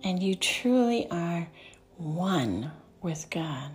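Low-voiced woman whispering a slow meditation. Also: animated. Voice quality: soft, often whispered. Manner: slow, soothing, unhurried prompts. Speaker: meditation guide. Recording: music playing underneath.

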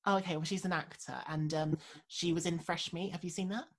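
A young Englishwoman with a low voice chats on a podcast. She rambles loosely and leans on "like" and "um" as she goes. Her tone stays easy and conversational. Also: steady tone, a little fast. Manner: chatty, heavy on "like" and "um". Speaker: podcast co-host. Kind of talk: casual chat.

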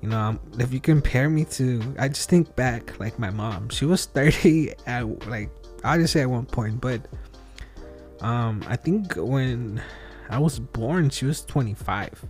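Male host, thirties, mid-pitched voice, solo reflective monologue that rambles and second-guesses itself, faint music underneath. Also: measured pace, darkish tone. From outside the US. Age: 30s. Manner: rambling, self-questioning, with frequent fillers and restarts. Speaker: podcast host.

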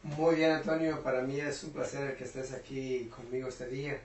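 Motivational Mexican guest, steady flat tone, hesitant; recorded roomy and from afar. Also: male voice, mid-range voice, normal speed, slight background noise. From Puebla, Mexico. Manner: earnest, hesitant with many fillers. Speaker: interview guest, business owner. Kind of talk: reflective motivational talk. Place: home. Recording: roomy echo, voice from a distance.

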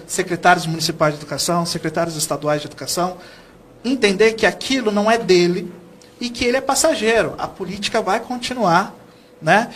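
High-pitched Brazilian man explaining earnestly. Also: a bit bright. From São Paulo state, Brazil. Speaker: educator, interview guest. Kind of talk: conversational TV interview answers.

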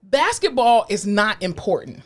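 A woman co-hosting a casual podcast chat, blunt and animated, swearing freely and joking as she rants. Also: medium pitch, a little slow. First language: English. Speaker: podcast co-host.

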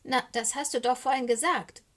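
A woman voicing a small cheeky young male raven in a children's storybook, croaky and excitable, with a wheedling, anxious way of talking. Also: sits high, normal speed, pitched above the performer's own voice. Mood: wheedling, eager. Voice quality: croaky, squawky.

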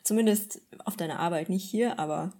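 Husky low-energy woman co-host. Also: brisk, mellow. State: feeling cold. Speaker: podcast co-host. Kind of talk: casual podcast chat.

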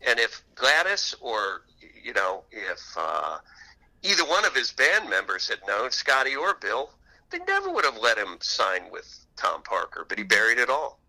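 Man, very bright forceful tone, interview guest, animated conversational storyteller. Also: mid-range voice, normal speed, low-quality recording.

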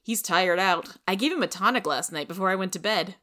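A woman's voice: fairly low pitch, brisk, pushed and bright. A woman voicing a plain-spoken, matter-of-fact village housewife in a storybook. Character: plain-spoken village housewife, a sour busybody's best friend. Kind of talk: storybook character reading.

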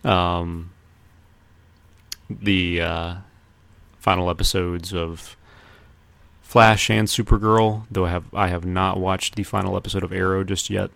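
A man's voice: low voice, steady tone, a little slow, vocal fry, long pauses, a bit bright, dry recording. A man co-hosting a podcast, talking casually about pop culture. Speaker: podcast co-host.

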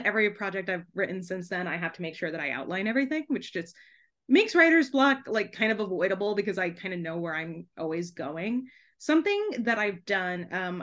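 A young woman speaks as a guest in a relaxed interview. Her voice sits at a middle pitch, and she talks in an animated, chatty way, often dropping in "like" as she thinks aloud. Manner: chatty, animated, frequent "like" and laughing asides. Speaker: podcast guest, debut author. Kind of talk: casual chat interview.

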